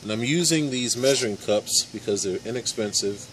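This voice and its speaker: A man in his forties giving a casual step-by-step how-to demonstration in a backyard, with relaxed fillers. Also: on the low side, normal speed, background noise. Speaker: hobby boatbuilder. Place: backyard outdoors. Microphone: camera mic, handheld camera nearby.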